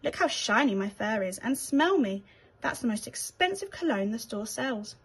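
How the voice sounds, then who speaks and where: A woman's voice: fairly high pitch, big pitch swings, normal speed, breathy edge, heavily acted, rough recording. A woman voicing a vain, fussy but kindly male rat in a children's story, with a haughty, chatty manner. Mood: proud, softened.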